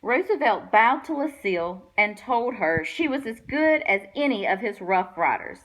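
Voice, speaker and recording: A woman reading a children's picture book aloud with expressive storytelling delivery. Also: a little high, normal speed, low-quality recording. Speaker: guest read-aloud reader.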